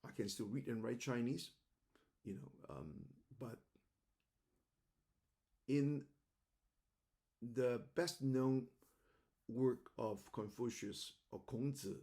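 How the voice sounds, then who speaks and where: An older man from Macau, speaking English as an in a halting, reflective monologue, often repeating words, recorded on a webcam at home. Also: mid-range voice, dark tone. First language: Cantonese. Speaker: host. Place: home room indoors.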